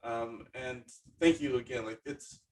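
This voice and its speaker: A man's voice: mid-range voice, steady tone, a little slow, far from the mic, slight background noise, low-quality recording. A man hosting a podcast in casual chat, effusive and hesitant, with many fillers. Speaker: podcast host.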